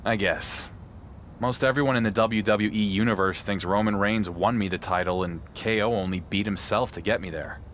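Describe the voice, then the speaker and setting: An actor playing a determined underdog wrestling champion in a video game, blunt and sardonic. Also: male voice, on the low side, steady tone, moderate pace, fairly bright tone, a little hiss or hum, close to an everyday voice. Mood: reflective, dry. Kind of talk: video game cutscene acting.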